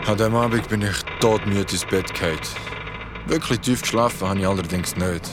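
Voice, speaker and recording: A man playing a young yacht worker who narrates an eerie ordeal in a radio play, haltingly and with suspense. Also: lowish voice, very noisy, close to an everyday voice. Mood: haunted, suspenseful.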